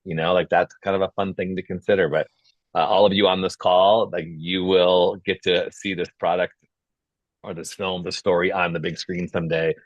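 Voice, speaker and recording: A man's voice: deep voice, no echo. A man hosting an online group call in a casual, encouraging and informal way, often dropping "like" into his sentences. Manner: encouraging, informal, peppers talk with "like". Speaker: host of an online filmmakers' meetup. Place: video call. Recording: dull lo-fi video-call audio.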